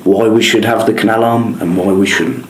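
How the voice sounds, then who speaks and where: Radio reporter: English man, lowish voice, formal prepared questions, roomy sound. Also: fairly even intonation, normal speed. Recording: echoey room.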